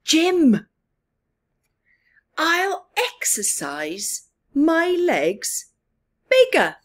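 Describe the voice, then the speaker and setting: A woman voicing a proud, eager, skinny-legged male bird from a storybook, with emphatic, drawn-out words. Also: high voice, wildly swooping pitch, pitched above the performer's own voice, exaggerated voice. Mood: determined.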